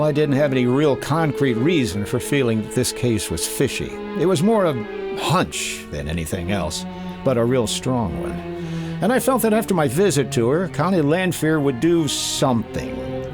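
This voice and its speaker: A man playing a wry, suspicious hard-boiled insurance investigator in a 1950s-style radio detective drama, narrating and talking punchily. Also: mid-range voice.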